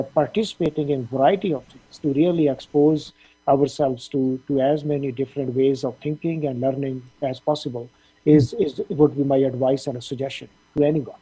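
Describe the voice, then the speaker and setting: A middle-aged man from Punjab, India, a dean giving measured interview answers with frequent fillers. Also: mid-range voice, mellow, slightly rough, rough recording. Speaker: dean of a veterinary faculty.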